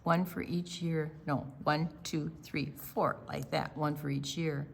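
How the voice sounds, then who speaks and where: An older woman reading aloud and explaining things to young children in a deliberate, teacherly, expressive way. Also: sits low, gravelly, slightly rough. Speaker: children's storytime presenter.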